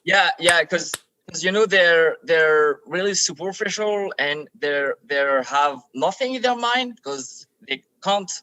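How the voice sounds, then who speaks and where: A man's voice: a little high, lively intonation, slow pace, sharp tone, low-quality recording. A young man from France speaking English with a French accent, chatting animatedly as a podcast guest, swearing, laughing and repeating words. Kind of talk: casual chat.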